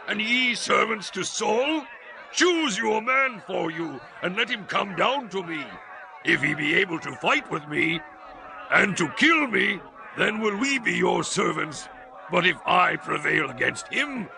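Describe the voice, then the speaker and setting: A man's voice: sits high, vocal fry, exaggerated voice. A man playing a boastful giant champion warrior in a dramatized scripture reading, bellowing defiant taunts.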